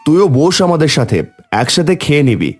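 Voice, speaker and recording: Man as fond cartoon uncle, gentle familial manner, lively intonation, music in the background. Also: a little high, fairly quick. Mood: affectionate. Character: affectionate visiting uncle. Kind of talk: cartoon story acting.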